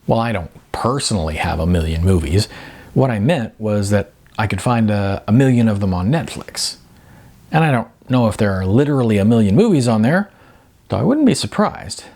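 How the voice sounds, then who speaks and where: Man reading as earnest young woman, husky voice. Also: lowish voice, expressive, moderate pace, a little mellow. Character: upset young woman acting student, shaken, earnest.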